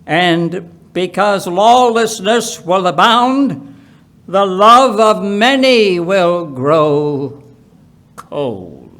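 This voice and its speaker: Very slow, high-pitched man reading scripture. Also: lively intonation, fairly bright tone. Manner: deliberate, emphatic phrasing with pauses. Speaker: Bible reader at a church.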